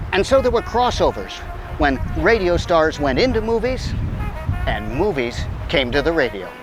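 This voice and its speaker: Animated American male host over music. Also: medium pitch. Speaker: show host and announcer. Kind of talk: scripted radio-style introduction.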